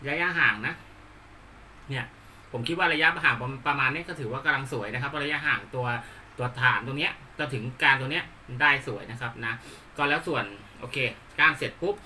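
A man giving an unscripted hands-on tutorial, explaining politely in a halting, repetitive way. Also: mid-range voice, pushed and bright, slight background noise. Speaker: hobbyist presenter demonstrating a DIY build.

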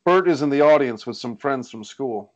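A man's voice: mid-range voice, expressive, normal speed, muffled recording. A man reading scripted stage directions as narrator of a screenplay table read. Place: indoors on a group video call.

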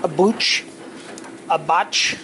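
A middle-aged man rambles slowly through a casual haul vlog, trailing off and correcting himself as he goes. He is filming in his home garage on a handheld camera. There is a steady hiss of noise under the voice. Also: fairly high pitch. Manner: rambling, slow and offhand, with many fillers and self-corrections. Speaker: channel host. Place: home garage workshop, indoors. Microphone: handheld camera mic, filming point of view.

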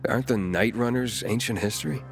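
A man's voice: medium pitch, fairly quick, soft background music, lightly acted. An actor voicing an earnest, guarded, sceptical young male wanderer in a video game, speaking tersely and seriously. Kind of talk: video game dialogue acting.

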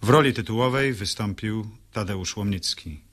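A man's voice: lowish voice, normal speed, fairly bright tone. A man reading formal scripted credits as a radio announcer.

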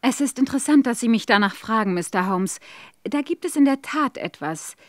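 An actress playing a polite, anxious young governess in a German audio drama, speaking in an earnest, worried, formal manner. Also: female voice, on the high side, expressive, fairly quick, no echo, clean studio sound, lightly acted. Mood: earnest recounting. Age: young adult.

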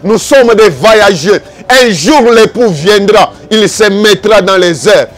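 A male evangelical preacher with a Congolese accent and a very high-pitched voice. He delivers a fervent sermon in an exhorting, accusatory manner. He drives his points home through repetition and rhetorical questions. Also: lively intonation, slow.

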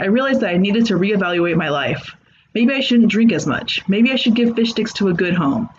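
A man's voice: high-pitched, muffled recording, slight background noise. A man reading a comedic horror story aloud with a dry, sarcastic delivery. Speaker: narrator. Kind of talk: comedic creepypasta narration.

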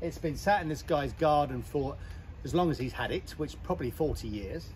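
A middle-aged man chats candidly while he works, thinking aloud in a fairly high-pitched voice. He is recorded on a camera close by in an outdoor yard, with birds and passing traffic clearly audible behind him. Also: lively intonation, normal speed. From England. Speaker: boat breaker. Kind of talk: hands-on yard vlog. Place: outdoor boatyard.

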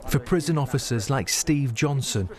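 A man's voice: mid-range voice, lively intonation, moderate pace, background noise. A man, a news reporter narrating a report and answering an anchor's questions. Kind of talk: scripted news narration and studio debrief.

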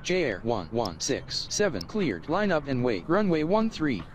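A man's voice: medium pitch, sing-song, a little slow. Male tower controller, synthetic stitched phraseology, very varied intonation, slight noise. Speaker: air traffic controller. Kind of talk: scripted radio phraseology. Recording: faint background noise.

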